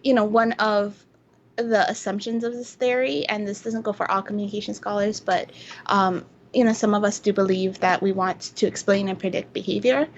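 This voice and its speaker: A woman from Hawaii speaking as a researcher guest, explaining things casually in a halting, thoughtful way on a lo-fi recording. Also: mid-range voice, fairly even intonation. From Hawaii, United States. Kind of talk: casual explanatory interview answers. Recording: dull lo-fi sound with faint noise.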